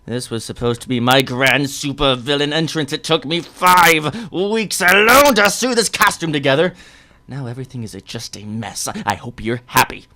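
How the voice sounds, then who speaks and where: A man's voice: mid-range voice, normal speed, bright, forceful tone, close to an everyday voice. A male student actor playing a self-pitying supervillain in a radio drama, ranting melodramatically. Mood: aggrieved. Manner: melodramatic ranting, then sulking.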